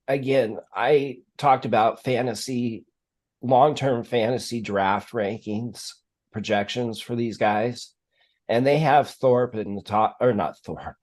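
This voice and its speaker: Male sports stream host, lower-pitched voice, measured and explanatory, casual analysis. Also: darkish tone.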